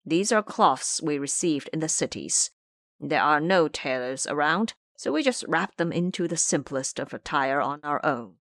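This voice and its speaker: A woman's voice: low-pitched, expressive, normal speed, fairly bright tone, no room sound, clean studio sound. A woman voicing a frightened, obsequious poor male monk who pleads, in an audio drama. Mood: fearful pleading. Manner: pleading, explanatory.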